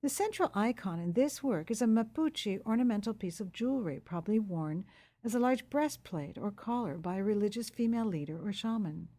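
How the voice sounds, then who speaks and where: A woman narrating a scripted museum feature in a smooth, even reading. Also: mid-range voice, brisk.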